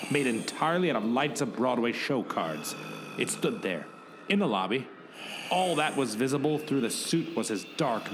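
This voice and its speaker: A man's voice: mid-range voice, noisy background. A man narrating a mock-epic comic radio play in a theatrical, melodramatic way. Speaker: podcast host and narrator.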